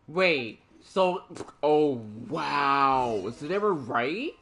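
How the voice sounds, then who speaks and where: A man's voice: on the high side, animated, extremely slow, a bit bright. A man streaming a game, reacting casually in slangy commentary. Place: streaming over game audio.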